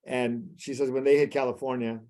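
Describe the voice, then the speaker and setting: Guy from guatemala chatting about books, halting, jokey, lots of ums. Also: medium pitch, little pitch movement, warm tone. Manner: halting, joking, lots of fillers. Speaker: book recommender. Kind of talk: casual chat.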